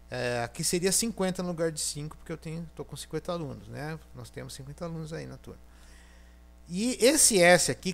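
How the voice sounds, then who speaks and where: Man lecturing, chatty with frequent fillers. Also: fairly high pitch. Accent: Brazilian Portuguese. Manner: thinking aloud, frequent "né?" and fillers. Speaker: university lecturer. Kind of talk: recorded programming lecture.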